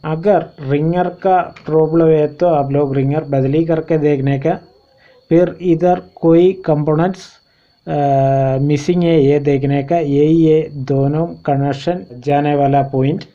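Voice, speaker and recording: A man giving an informal step-by-step repair tutorial, explaining in a halting, repetitive way. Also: on the high side, fairly even intonation, mellow. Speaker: phone repair instructor and channel host. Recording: dull lo-fi home recording.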